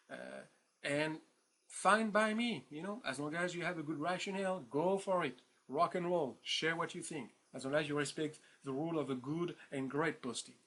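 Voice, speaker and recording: A man's voice: a little high, bright, forceful tone. A man with a French accent giving a recorded introduction to an online course. His talk is informal and playful, full of fillers, repeats, and "right?" after his points. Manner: chatty, informal, many fillers and repeated words; keeps asking "right?" for agreement.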